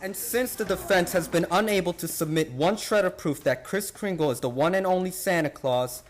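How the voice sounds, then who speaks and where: A man's voice: high voice, normal speed, lightly acted. A man acting a pompous, exasperated prosecutor with formal oratory in a radio play. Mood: formal, indignant.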